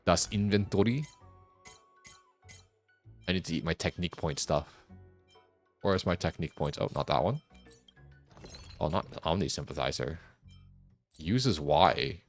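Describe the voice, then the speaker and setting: A man's voice: deep voice, animated, measured pace, long pauses. A man doing casual live gameplay commentary, rambling and musing to himself as he streams. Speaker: game streamer. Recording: faint game music behind the voice.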